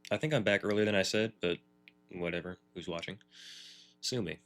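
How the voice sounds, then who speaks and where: A man's voice: deep voice, brisk, a bit bright. A man livestreaming a video game with casual, laid-back, offhand commentary.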